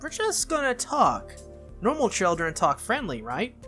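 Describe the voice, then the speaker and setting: Little girl for a visual novel played by an adult reader: high, playful, sweetly menacing, music underneath. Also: wildly swooping pitch, measured pace, pushed and bright, full character voice. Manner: playful, childlike, casually menacing. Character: cheerful, eerily sweet little silver-haired girl.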